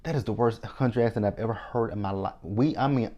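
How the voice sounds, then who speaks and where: Texan man, lower voice, sassy TV recap host, chatty and opinionated. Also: fast, warm tone, dull audio. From Texas. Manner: chatty, sassy, opinionated, talking straight to viewers. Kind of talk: casual TV episode recap.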